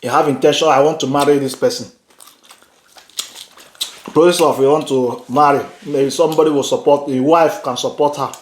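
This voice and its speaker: A man's voice: a little high, steady tone, normal speed, long pauses. A man with a Nigerian accent co-hosting a mukbang vlog, talking casually and repeating phrases for emphasis. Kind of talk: casual chat while eating. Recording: roomy echo, faint noise, dish clinks. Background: clicks, cutlery and dishes.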